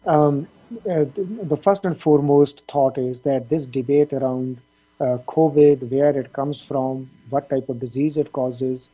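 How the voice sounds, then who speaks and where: A middle-aged man answers interview questions in a measured, thoughtful way. He often stops to say "uh" or "um". His voice is very dark, mellow and a bit husky. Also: medium pitch, steady tone, low-quality recording. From India, Punjab. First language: Punjabi. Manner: thoughtful, measured, with frequent fillers. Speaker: dean of a veterinary faculty.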